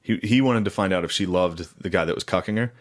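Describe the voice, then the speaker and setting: A man's voice: low voice, quick pace. A man co-hosting a podcast, telling stories casually and comedically in crude, profane slang. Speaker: podcast co-host. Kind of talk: casual comedic storytelling chat.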